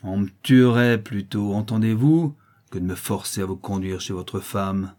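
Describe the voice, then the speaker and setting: A man's voice: mid-range voice, normal speed, mellow, natural voice. A man voicing a sly, mocking crippled street boy in a dramatized audiobook. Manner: jeering, sneering.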